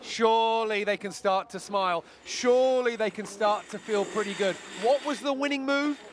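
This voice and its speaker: A man giving live play-by-play commentary on a sailing race, brisk and analytical. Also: high voice, noisy background.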